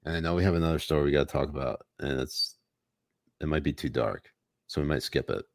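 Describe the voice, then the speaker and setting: A man's voice: a bass voice, fast talker, dry recording, clean studio sound. A young man hosting a casual comedy podcast, joking crudely and rambling with restarts. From United States. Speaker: podcast host.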